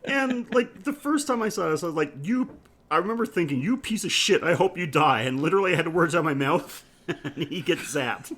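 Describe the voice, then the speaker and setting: A man's voice: on the high side, expressive, a little fast, a bit bright. A man co-hosting a podcast, discussing film casually with emphatic, frequent swearing. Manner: profane, emphatic. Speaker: podcast co-host.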